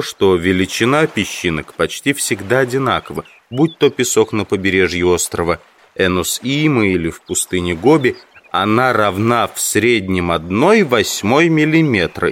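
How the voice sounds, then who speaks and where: A man narrating a literary audiobook in the first person, with measured, carefully phrased, expressive delivery. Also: fairly low pitch.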